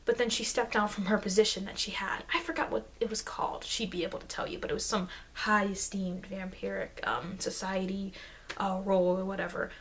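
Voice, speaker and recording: A woman plays a chatty, friendly immortal vampire in a soft-spoken ASMR roleplay. Her medium-pitched voice wanders along conversationally, full of loose asides. A faint layer of noise from an amateur microphone sits under the speech. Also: little pitch movement, a little fast. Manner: rambling, conversational, frequent "you know". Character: friendly, chatty immortal young-looking female vampire researcher.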